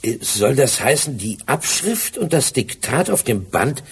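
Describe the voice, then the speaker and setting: An actor playing a sceptical police sergeant and sidekick in a crime radio drama, with a questioning, wry manner and a husky voice. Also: male voice, mid-range voice, big pitch swings, measured pace, heavily acted.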